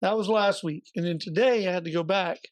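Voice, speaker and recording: A man co-hosting a podcast, slightly hoarse and raspy, telling a story in a chatty way with joking asides. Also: high-pitched, fairly quick, dry recording. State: a little hoarse. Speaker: podcast co-host. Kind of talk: casual podcast chat.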